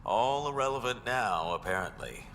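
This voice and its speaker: A man's voice: medium pitch, animated, moderate pace, a bit bright. A man playing a thoughtful, hesitant colonist teacher with a wry manner in a video game. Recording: long reverb, noisy ambience behind.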